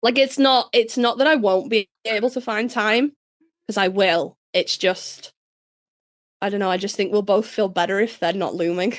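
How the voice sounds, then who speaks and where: A woman co-hosting a podcast in casual chat, a little hoarse and nasal from being sick, telling stories in a chatty, swearing way. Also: mid-range voice, moderate pace. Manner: chatty, swearing, animated storytelling. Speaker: podcast co-host.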